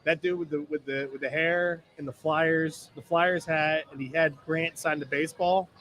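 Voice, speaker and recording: High-voiced, blunt male sports co-host. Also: steady tone, normal speed, a bit bright. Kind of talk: casual sports banter and storytelling. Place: live remote broadcast at a casino.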